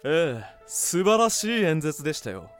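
Casting: woman playing a polite, scheming villainess with a very low voice, slow and swooping delivery, music behind. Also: very animated. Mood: scheming politeness. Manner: softly polite, cold and mocking. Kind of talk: amateur voice drama. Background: background music.

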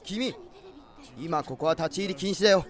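A brusque male cop played by an actor in a Japanese voice drama. He speaks in curt, commanding phrases with a slightly high male voice. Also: normal speed, crowd noise, lightly acted. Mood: stern. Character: brusque male police officer guarding crime scene. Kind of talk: Japanese amateur voice drama acting.

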